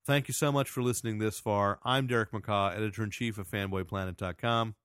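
A man hosting a casual podcast, chatty and rambling, with a loud voice. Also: fairly low pitch, steady tone, fairly quick, clean tone, no room sound, studio quality. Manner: rambling, self-correcting, chatty plugs. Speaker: podcast host and editor.

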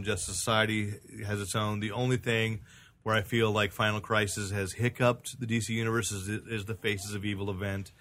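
A man's voice: lowish voice, a bit bright, slight background noise. A middle-aged man hosting a casual comics podcast, opinionated, with mock-solemn joking. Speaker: podcast host.

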